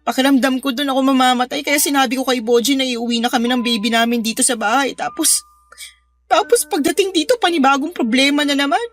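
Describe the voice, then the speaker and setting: A young woman playing an exhausted, frustrated new mother in an audio drama, speaking in a weary, emotional, halting way. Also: high voice, fairly even intonation, fairly bright tone, faint music behind. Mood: weary, aggrieved.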